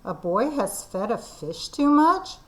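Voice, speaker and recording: A woman voicing a crew of firemen who yell their orders. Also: on the high side, very animated, takes it slowly, heavily acted, low-quality recording. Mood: bossy. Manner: yelling.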